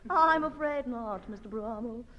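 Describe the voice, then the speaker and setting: A woman's voice: on the high side, unhurried, bright, forceful tone. A woman playing a playful film actress in 1950s radio comedy style. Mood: wry. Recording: dull, faintly hissy vintage radio recording.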